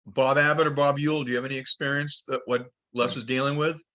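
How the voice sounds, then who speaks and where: Man, bright forceful voice, medium pitch, call moderator, casual questioning. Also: little pitch movement, fast. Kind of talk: casual group discussion. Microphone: telephone or conference call line.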